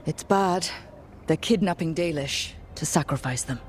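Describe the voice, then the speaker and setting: A woman's voice: sits low, unhurried, slight background noise. An actress playing a capable, determined young woman who leads adventurers in a fantasy video game, wry and matter-of-fact. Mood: urgent, determined.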